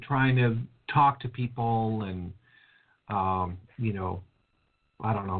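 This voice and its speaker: A man hosting a casual podcast chat, rambling along with lots of fillers. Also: on the low side. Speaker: show host. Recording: phone-like call audio.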